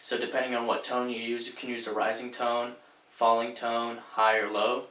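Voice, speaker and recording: Young man from Sweden, student intro, casual and monotone, bright tone, miked from afar. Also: medium pitch, moderate pace. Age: young adult. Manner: casual, relaxed, with "like" and "um" fillers. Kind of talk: personal introduction monologue. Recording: echoey room, distant mic.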